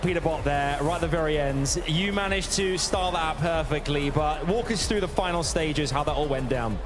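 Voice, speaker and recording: A man hosting a live esports event on stage, interviewing in a showy, playful way over loud music. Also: fairly high pitch, a little fast. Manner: showy, playful, addresses a crowd.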